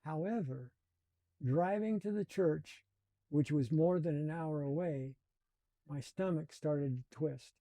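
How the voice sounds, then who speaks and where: A man in his seventies telling his life story in a slow, reflective and earnest way. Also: fairly high pitch, mellow, dry recording. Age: 70s. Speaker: channel host. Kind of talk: personal storytelling.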